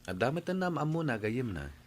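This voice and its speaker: A man's voice: mid-range voice, big pitch swings, fast. A man playing an easygoing working husband in an Ilocano radio drama, speaking in a familiar, conversational way with an Ilocano accent. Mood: calm, agreeable.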